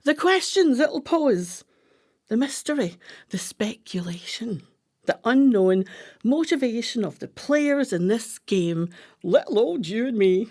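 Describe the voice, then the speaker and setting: A woman's voice: fairly high pitch, very animated, a little slow, a little mellow. A woman playing a scheming, imperious, artistic retired wife in a radio play, with dramatic, emphatic delivery. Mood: excited, conspiratorial.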